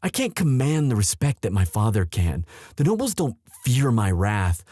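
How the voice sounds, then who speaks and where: A man playing an anxious, protective young prince in love, speaking earnestly and haltingly in a romantic roleplay audio drama. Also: on the low side, sing-song, dark tone, no room sound, clean studio sound. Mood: conflicted, earnest. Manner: earnest, halting, tender delivery.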